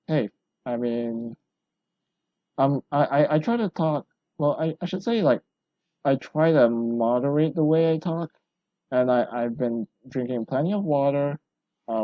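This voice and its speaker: A man hosting a solo podcast, rambling and self-deprecating, his voice hoarse from talking a long time. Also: medium pitch, no room sound, highs cut off. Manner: rambling, self-deprecating, full of fillers and restarts. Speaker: podcast host.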